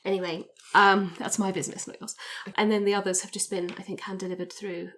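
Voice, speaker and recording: A woman acts out a flustered woman with something to hide in a tabletop audio drama. She is hesitant and stammers, often breaking off and starting again. Her pitch sits at an ordinary middle level for a woman. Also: little pitch movement, fairly quick, a bit bright, slight background noise, close to an everyday voice. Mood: guarded, halting. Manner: hesitant, stammering, self-interrupting. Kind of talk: improvised tabletop roleplay acting.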